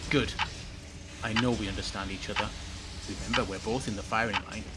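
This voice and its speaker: A man's voice: lowish voice, steady tone, a little fast, natural voice. Man acting menacing corporate boss, very noisy. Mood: quietly menacing. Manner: formal, commanding, veiled menace. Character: scheming, controlling male sci-fi corporate villain boss. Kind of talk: audio drama acting. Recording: very noisy with clicks.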